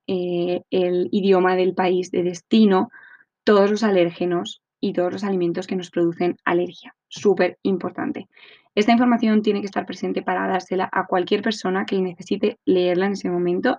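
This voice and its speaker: A woman talks through a podcast episode in a loose, chatty way, with many pauses and tag questions. Her voice sits at a middling pitch. The recording sounds a little dull and lo-fi. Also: fairly even intonation, mellow. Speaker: podcast host. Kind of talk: informal podcast monologue.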